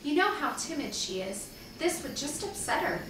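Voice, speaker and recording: A woman voicing a gentle, anxious young girl from a children's storybook. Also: sits high, very animated, moderate pace, voice pushed up. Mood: pleading. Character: shy, gentle, nervous young girl, Violet. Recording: distant mic, very echoey room, noisy.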